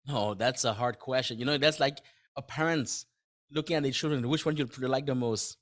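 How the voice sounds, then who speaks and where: A man's voice: medium pitch, normal speed, a bit bright. A man whose first language is French, a guest on a podcast, talking casually in simple words and often restarting his phrases. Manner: thinks aloud in simple words, with frequent restarts and light laughs. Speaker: podcast guest, dentist and author. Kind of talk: casual interview conversation.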